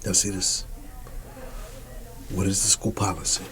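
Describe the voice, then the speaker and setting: Easygoing male schoolteacher for ASMR roleplay: soft, whisper-prone, unhurried delivery, reassuring repeated phrases, audible noise behind. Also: low voice, steady tone. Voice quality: soft, often dropping into a whisper. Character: quirky, easygoing male schoolteacher addressing his class. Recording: clearly audible background noise.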